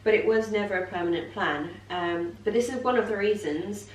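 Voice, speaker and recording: A woman's voice: medium pitch, brisk. A young woman from Poland, talking fluently and openly in a casual vlog chat. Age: young adult. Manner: fluent, chatty, open. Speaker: vlogger. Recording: echoey room, mic set far off, faint noise.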